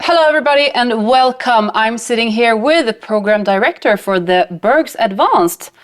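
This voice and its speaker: A woman's voice: fairly high pitch, expressive, moderate pace, music in the background. Woman interviewer, jokey and teasing, keeps it light and casual. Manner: teasing, playful.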